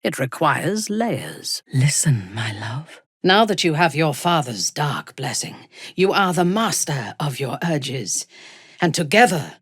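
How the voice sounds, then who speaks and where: An actress playing a cold, ruthless dark elf warrior-priestess in a video game, haughty and deliberate, with a breathy, husky voice. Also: female voice, deep voice, sing-song, no echo, studio quality, heavily acted. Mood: commanding, then intimate, intense. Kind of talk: video game character dialogue.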